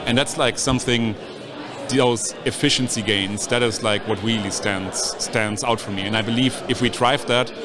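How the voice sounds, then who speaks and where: A man's voice: mid-range voice, fairly even intonation, moderate pace. A man talking casually as a guest at a conference, leaning on "like" as a filler. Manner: loose, fills speech with "like". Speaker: guest, sales executive. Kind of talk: casual conversation. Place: onsite at a user conference. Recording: busy crowd behind.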